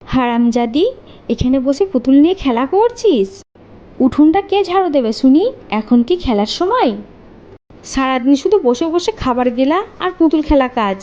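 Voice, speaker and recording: A woman voicing a cruel, sharp-tongued mother in a melodramatic cartoon, scolding and threatening. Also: high voice, lively intonation, normal speed, highs cut off. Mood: angry, accusing.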